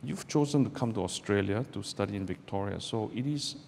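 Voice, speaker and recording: A middle-aged man from Malaysia, a barrister giving a measured, deliberate public talk to students from a podium in a lecture hall. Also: on the low side, vocal fry, darkish tone. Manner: measured, deliberate delivery with pauses. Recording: roomy hall with long reverb, faint background noise.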